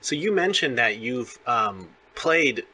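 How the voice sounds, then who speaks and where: A man's voice: mid-range voice, expressive, slow, pushed and bright, slightly rough. A man interviewing in a casual, chatty and enthusiastic fan-to-fan way. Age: adult parent of young children.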